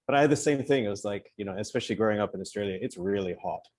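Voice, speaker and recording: A man's voice: lowish voice, rapid-fire, darkish tone. A middle-aged man from New Zealand on an online panel, telling his career story in a joking, self-deprecating way. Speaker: colorist panelist. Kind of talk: casual panel talk.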